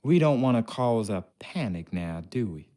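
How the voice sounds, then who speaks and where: An actor playing a gruff, sardonic ship's craftsman in a video game, taunting and bossy in a colloquial, condescending way. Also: mid-range voice, sing-song, normal speed, background noise, close to an everyday voice. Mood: condescending, conspiratorial. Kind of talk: video game character acting.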